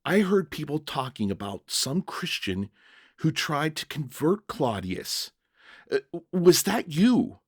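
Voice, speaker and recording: A man's voice: on the high side, big pitch swings, takes it slowly, slightly rough, no echo, studio quality, pitched above the performer's own voice, heavily acted. A man playing a bewildered, earnest jailer who tells his story in the first person with dramatic, stammering emotion. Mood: baffled, questioning. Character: young-hearted jailer narrator, bewildered, earnest, emotional father.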